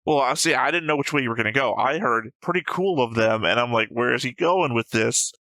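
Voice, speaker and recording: A man's voice: medium pitch, brisk, bright, forceful tone, no echo. A man co-hosting an anime reaction show, talking through episode notes casually and bluntly, with some swearing.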